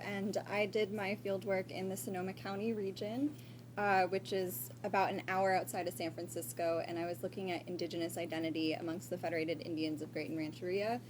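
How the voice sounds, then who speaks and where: A woman's voice: medium pitch, a little mellow. Over a faint noisy hum, a young woman with a mid-range voice explains her research. She speaks conversationally into a conference microphone, as a student presenter taking questions. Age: young adult. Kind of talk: semi-formal conversational Q&A. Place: live conference room. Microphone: shared event microphone.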